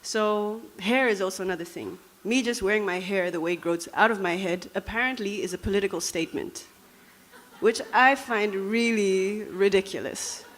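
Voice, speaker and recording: A woman's voice: medium pitch, moderate pace, a bit bright. A young woman from Namibia with a Dutch accent, giving a reflective, conversational personal talk with frequent fillers. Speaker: speaker.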